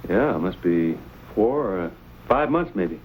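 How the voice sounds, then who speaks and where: An actor playing a brash, good-natured young frontiersman with a folksy manner that turns halting when he is flustered, in an old-time western drama. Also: sits low, sing-song, darkish tone, slight background noise, rough recording. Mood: flustered, earnest.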